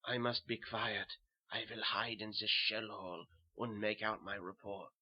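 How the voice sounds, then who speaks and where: A man with a put-on German accent playing a dazed, haunted soldier turned butcher's helper in a dramatic horror reading, halting and brooding. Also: fairly low pitch, flat delivery, voice pushed down. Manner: halting, repetitive, brooding first-person delivery. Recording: dull lo-fi home recording.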